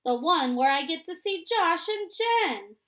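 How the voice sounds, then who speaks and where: A woman reading the part of an adventurous grandmother telling travel tales in a storybook, with drawn-out emphasis. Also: very high-pitched, big pitch swings, fairly bright tone, pitched above the performer's own voice, full character voice. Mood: fond.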